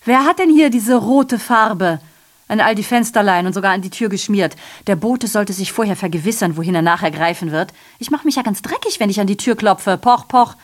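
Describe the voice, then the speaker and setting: A woman's voice: mid-range voice, expressive, brisk, close to an everyday voice. An actress playing a sardonic, aggrieved female messenger in a radio drama, delivering a digressive monologue full of rhetorical questions and self-corrections. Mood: indignant, sardonic.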